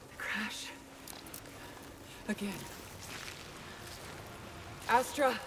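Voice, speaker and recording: An actress playing a lone, wary space scout in a video game, speaking in terse, subdued monologue. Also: female voice, on the high side, steady tone, extremely slow. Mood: wary, isolated. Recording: distant game audio under clear noise.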